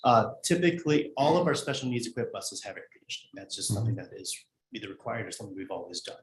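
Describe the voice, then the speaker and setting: Male administrator, somewhat low pitch, measured and explanatory, a touch hesitant, echoey meeting-room recording. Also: slight background noise. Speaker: school administrator. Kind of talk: informational answers at a board meeting. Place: indoor school board meeting room.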